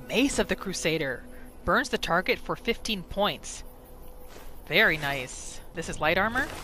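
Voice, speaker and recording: A woman's voice: lowish voice, expressive, unhurried, sharp tone, soft background music. A woman hosting a casual game roleplay playthrough, narrating her character's thoughts in the third person with little asides. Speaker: gaming channel host.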